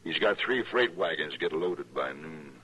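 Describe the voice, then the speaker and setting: An actor playing a pushy, smooth-talking freight boss in an old-time radio Western, with folksy, insinuating talk. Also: male voice, extremely low pitch, expressive, moderate pace, sharp tone, muffled recording, heavily acted. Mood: falsely genial. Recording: noisy vintage radio drama recording.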